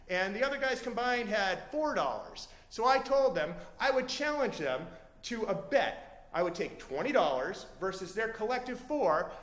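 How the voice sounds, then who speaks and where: A man's voice: high voice, fairly bright tone, echoey room, in a large hall. A man voicing an eccentric, boastful street hustler in a live story, declaiming with emphatic salesman-like confidence. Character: eccentric, boastful male sidewalk hustler in shabby suit. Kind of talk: acted-out character in live storytelling.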